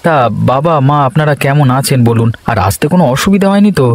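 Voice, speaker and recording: A man voicing a grumbling, lazy village husband in a cartoon, complaining and exclaiming, with music clearly behind him. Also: on the high side, expressive, fast talker, slight background noise, natural voice. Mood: surprised, polite. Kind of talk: cartoon voice acting.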